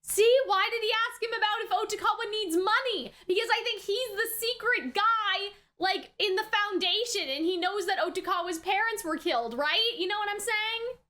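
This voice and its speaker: Female reaction host, very high and bright voice, unhurried overall, slangy and exclamatory. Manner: animated, exclamatory, slangy, thinks aloud. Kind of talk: casual excited reaction commentary on an anime episode.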